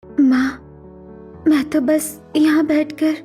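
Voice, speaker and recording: A woman's voice: high-pitched, level tone, takes it slowly, dark tone. A woman voicing a meek, wronged young daughter who pleads plaintively in a melodramatic cartoon story. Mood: meek, pleading. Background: music.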